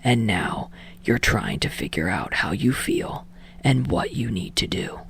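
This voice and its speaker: A woman voicing a weary, exasperated male film director in a low, flat, hushed delivery for an audiobook story. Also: unhurried, vocal fry, husky, no echo, exaggerated voice. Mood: quiet, grave.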